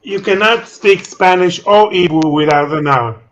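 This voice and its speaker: A man in a casual chat, speaking haltingly with many fillers. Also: high voice, a bit bright, slight background noise.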